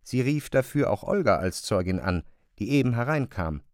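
A male narrator in a literary radio play. His voice sits at a middle pitch and is recorded dry and close, with scripted storytelling phrasing. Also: big pitch swings, moderate pace, no room sound.

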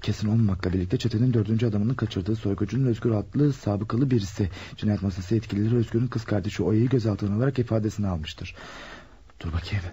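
A man's voice: fairly low pitch, quick pace, mellow, breathy edge. An actor playing a nervous ordinary man caught up in a crime in a radio drama, hesitant and flustered. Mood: alarmed. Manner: muttering to himself, hesitant, flustered. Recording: dull lo-fi radio recording.

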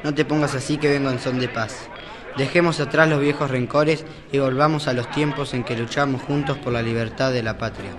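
A young man playing a calm, conciliatory patriot leader in an amateur student radio play. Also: mid-range voice, brisk, a crowd in the background, lightly acted. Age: teenager or young adult.

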